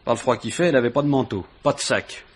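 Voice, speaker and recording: A man's voice: mid-range voice, fast, husky, lightly acted. A man acting a matter-of-fact, persistent police inspector who asks informal questions in a radio crime drama. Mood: casual, brisk. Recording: noisy vintage radio recording.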